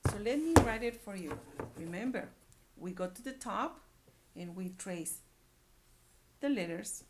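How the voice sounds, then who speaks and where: A woman leads a read-aloud lesson for young children at a slow, careful pace. Her mid-range voice rises and dips in a lively way. She repeats key words and keeps putting questions to her listeners. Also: mid-range voice, heavy background noise. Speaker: early-grade reading teacher.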